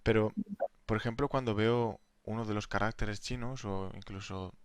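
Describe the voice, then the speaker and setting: A young man co-hosting a casual podcast, enthusiastic and quick to laugh. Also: lowish voice, steady tone, clean tone, dry recording. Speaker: podcast co-host, language teacher. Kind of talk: casual conversational chat.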